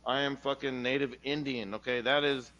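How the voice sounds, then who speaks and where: Man, bright tense voice, loud animated storytelling, lots of cursing. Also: medium pitch, moderate pace, muffled recording. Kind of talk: casual podcast chat.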